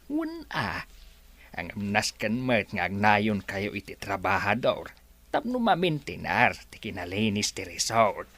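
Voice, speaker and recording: A Filipino man playing an obsequious, comically timid resort worker in a radio comedy, speaking in halting phrases. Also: on the low side, huge pitch swings, fairly bright tone, heavily acted. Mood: timid, deferential. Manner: halting, swooping deference. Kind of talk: comedy radio drama acting.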